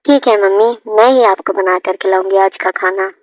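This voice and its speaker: A woman's voice: medium pitch, normal speed, no echo, natural voice. A woman voicing a bold, modern young daughter-in-law in a family cartoon, with an insistent manner. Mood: agreeable. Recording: dull lo-fi audio, music behind.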